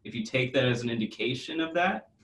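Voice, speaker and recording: A man explains carefully on a video call, hedging and adding many fillers. His mid-pitched voice sounds roomy and set back from the mic. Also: lively intonation, fast, a bit bright, distant mic. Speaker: guest from a music data company. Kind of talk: casual video-call interview.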